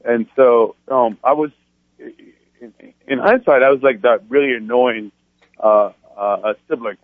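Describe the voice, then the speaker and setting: A man from France in his thirties, speaking as a radio guest. He talks earnestly, often restarting his sentences while telling his story. His mid-pitched voice comes through a tinny, dry phone line. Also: measured pace, no room sound. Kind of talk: phone-in interview.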